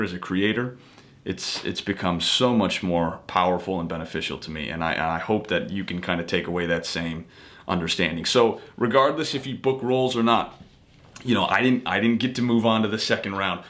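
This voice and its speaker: A man with a fairly low-pitched voice speaks as an actor passing on advice to viewers. His delivery is casual and conversational. He sounds earnest, restating key points to drive them home. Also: a bit bright. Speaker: actor giving acting tips. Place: home office.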